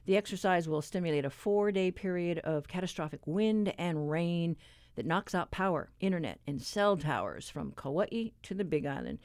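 A woman's voice: fairly low pitch, expressive, normal speed, darkish tone, no echo. Woman radio host with dry studio sound. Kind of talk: scripted radio introduction.

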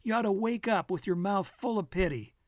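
A man's voice: high voice, brisk, no room sound, studio quality, voice pushed up, close to an everyday voice. A man voicing a brash, teasing American man from a novel, emphatic and needling in his delivery. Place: dry radio studio.

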